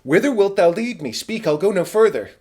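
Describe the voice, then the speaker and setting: A man's voice: sits high, expressive, a little fast, a little mellow, voice pushed up. A man voicing a headstrong, desperate young prince with theatrical, emphatic delivery in a dramatic Shakespeare audiobook. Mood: wary, demanding.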